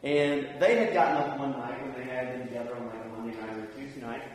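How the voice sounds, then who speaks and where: A man's voice: mid-range voice, fairly even intonation, fast talker. A man preaching a sermon in a plain-spoken, conversational, informal way. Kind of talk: sermon with personal anecdotes. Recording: echoey hall, distant mic, faint noise.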